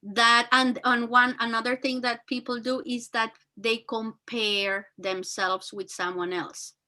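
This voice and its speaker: A woman with a very high, bright and forceful voice talks earnestly, her full of fillers and restarts. She speaks as a teacher and guest, giving motivational advice. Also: little pitch movement, measured pace. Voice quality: very high-pitched voice. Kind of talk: motivational talk.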